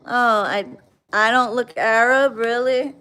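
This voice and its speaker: A woman's voice: a little high, fairly even intonation, slow, pushed and bright, clear, resonant tone, poor audio. An American woman chatting bluntly on a livestream, reading viewer comments and answering them with plenty of swearing. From United States. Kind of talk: casual livestream chat.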